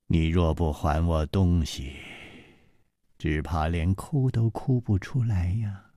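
Man playing a cold, haughty young swordswoman, deliberate. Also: low voice, sing-song, warm tone, breathy edge, dry recording, clean studio sound, voice pushed down, exaggerated voice. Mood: cold threatening. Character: cold haughty beautiful young martial-arts woman, menacing.

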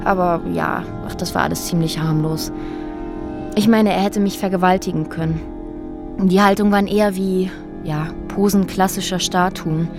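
An actress playing a woman who recounts a strange, disturbing ordeal in a reflective, halting, matter-of-fact radio drama monologue. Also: fairly low pitch, fairly even intonation, close to an everyday voice. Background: music under the voice.

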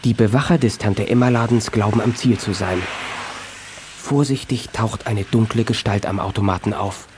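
A male narrator telling a children's radio play in a measured, suspenseful scripted manner. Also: lowish voice, a little mellow, a little hiss or hum, natural voice.

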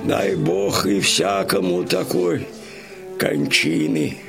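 An actor playing a devout, fearless dying Cossack in an audio drama, with a strained, gravelly voice. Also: male voice, on the high side, big pitch swings, slow, over music, full character voice. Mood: exalted, dying. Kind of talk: theatrical audio drama death speech.

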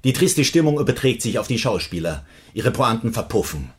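An actor in a German radio drama playing a brash, cynical screenwriter who reports in clipped phrases. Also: male voice, medium pitch, sing-song, a little fast, husky, dry recording. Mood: narrating, emphatic.

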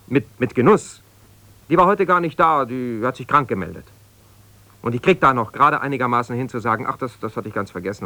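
A male actor plays a middle-aged husband who feels wronged and keeps justifying himself. His mid-pitched voice argues in broken, reproachful sentences, in the natural manner of a 1970s radio play. The sound is dry, with no room around it. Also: pushed and bright, no room sound, close to an everyday voice. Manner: halting, argumentative, reproachful, breaking off mid-sentence. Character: aggrieved, self-justifying husband, an ambitious lawyer. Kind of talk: naturalistic 1970s German radio drama, one-sided conversation.

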